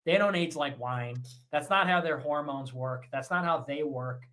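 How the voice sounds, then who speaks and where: A man with a fairly high, bright and sharp voice delivers a brash, mocking rant. He talks in quick bursts and comes across as provocative. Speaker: online streamer in a clip.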